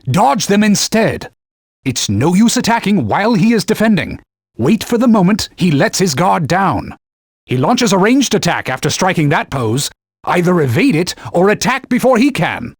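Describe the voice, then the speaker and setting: A proud talking sword from a video game, a stern mentor who barks combat advice and scorns his partner. His husky voice sits high and swings widely in pitch. The studio recording is dry and close. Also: dry recording, exaggerated voice. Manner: scolding, calls his partner fool and knave; commanding, gives combat tips.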